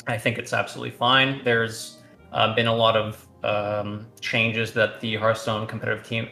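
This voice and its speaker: A man's voice: on the low side, bright, forceful tone, echoey room. A man from Romania answering interview questions casually, rambling with fillers and restarts. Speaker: guest, competitive card game player.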